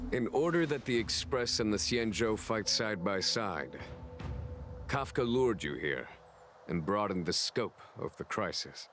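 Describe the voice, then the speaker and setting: An actor playing a calm general who speaks formally and measuredly in a video game. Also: male voice, on the low side. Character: calm male general addressing allies. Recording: game audio over music.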